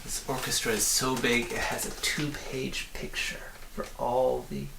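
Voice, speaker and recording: A man's voice: medium pitch, little pitch movement, a little slow, vocal fry. A man reading a children's story aloud as scripted audiobook narration. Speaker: audiobook reader. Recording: roomy, distant, faint hiss.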